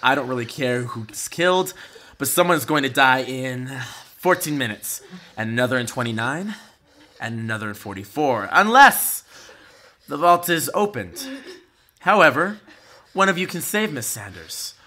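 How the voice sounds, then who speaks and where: A man playing a cruel, mock-polite gunman in an audio drama, speaking with theatrical, deliberate menace. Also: medium pitch, expressive, pushed and bright. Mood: mocking, taunting. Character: cruel, taunting male gunman leading a hostage robbery.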